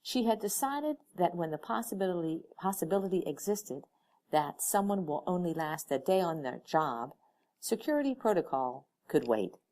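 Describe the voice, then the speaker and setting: Casting: female audiobook reader, low pitch, lively range, expressive storytelling. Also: normal speed. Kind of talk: expressive scripted story narration.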